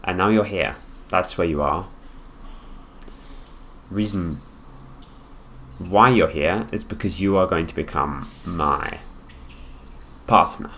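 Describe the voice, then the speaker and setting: Low, slow, haughty prince played by a man. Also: fairly bright tone, slight background noise. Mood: smug, matter-of-fact. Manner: condescending, deliberate, drawn-out phrasing with pauses. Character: haughty possessive young prince, heir to the throne, coolly menacing. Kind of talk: improvised ASMR roleplay, addressing the listener.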